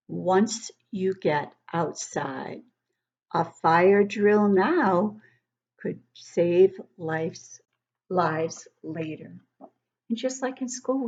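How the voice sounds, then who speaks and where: Unhurried woman reading to young children. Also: lowish voice, breathy edge, highs cut off. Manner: expressive, explaining asides and questions to young listeners. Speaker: children's book club host and reader. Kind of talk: read-aloud picture book storytelling for young children.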